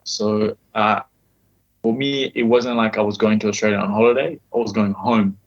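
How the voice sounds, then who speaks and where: A man's voice: fairly low pitch, fairly even intonation, moderate pace, muffled recording. A man in his thirties with a faint South African accent, a podcast guest telling his story candidly and swearing freely. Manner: candid, swears freely, repeats "you know what I mean". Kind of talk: casual interview storytelling.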